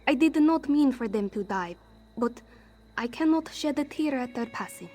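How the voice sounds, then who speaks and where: High-voiced scrappy cat-folk thief played by a woman. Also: lively intonation, moderate pace, dark tone. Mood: bitter. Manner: refers to herself in third person, formal contraction-free phrasing. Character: anxious, scrappy female cat-folk thief, defensive and street-hardened. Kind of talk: fantasy game character dialogue.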